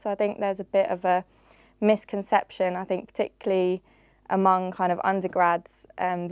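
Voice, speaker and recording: A woman's voice: lowish voice, a little mellow, clean tone, no echo. A woman, a former master's student now a research assistant, thoughtfully explaining her career choice. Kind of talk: recorded career testimonial.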